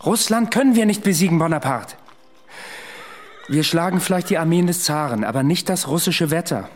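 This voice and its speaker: An actor playing a world-weary, sceptical military adviser, speaking in a blunt, sober way in a radio drama. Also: male voice, a little high, expressive, moderate pace, faint music behind, lightly acted. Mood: warning. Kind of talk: radio drama acting and narration.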